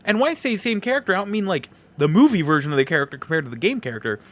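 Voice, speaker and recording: A man's voice: high-pitched, sing-song, racing. A man hosting a podcast, talking casually and informally through a solo movie review with rambling asides. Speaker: podcast host.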